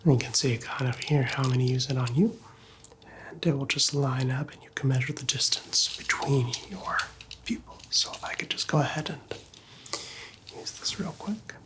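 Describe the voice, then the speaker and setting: Male, ASMR doctor roleplay: hushed, breathy whisper, unhurried and reassuring, medium pitch, close to the mic. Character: calm, reassuring male doctor examining a patient. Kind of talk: ASMR medical roleplay, softly spoken to the listener.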